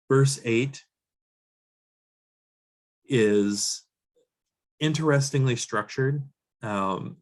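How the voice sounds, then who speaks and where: Hoarse pastor, lowish voice. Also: male voice. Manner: measured, explanatory, reading scripture aloud then unpacking it. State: hoarse, losing his voice. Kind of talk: verse-by-verse sermon teaching.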